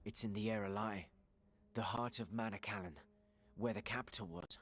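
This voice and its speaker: A man playing a grim, urgent adventurer leading his party in a fantasy game, terse and decisive, with a husky voice. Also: on the low side, fairly even intonation, normal speed, fairly bright tone. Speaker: game character, leader of adventurers. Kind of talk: fantasy video game cutscene acting.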